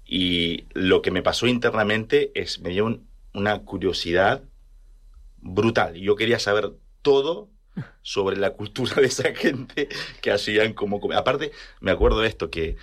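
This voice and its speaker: A man from rural Argentina with an Argentine accent, telling personal stories casually and haltingly as he searches for words. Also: lowish voice, fairly bright tone. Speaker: interview guest.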